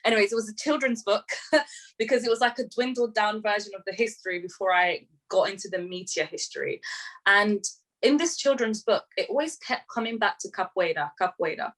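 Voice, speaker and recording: A woman's voice: mid-range voice, a little fast, a bit bright, rough recording. A young woman who grew up in London, talking eagerly as a panelist on a video call, with many fillers and restarts. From grew up in London, England. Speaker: panelist, writer and performer. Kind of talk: online panel talk.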